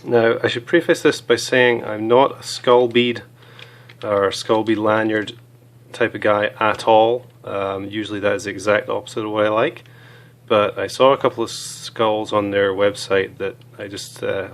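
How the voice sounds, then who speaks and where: A hoarse man with a cold, chatting casually as he reviews small gear items, with many fillers. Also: on the low side, level tone, moderate pace.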